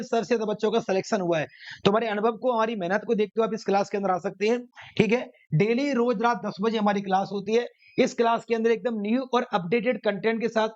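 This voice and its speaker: A man teaching an exam coaching class, emphatically repeating phrases and asking his own rhetorical questions. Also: sits high. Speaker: maths teacher running online exam classes. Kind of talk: exam coaching lecture to students. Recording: dull, lo-fi sound.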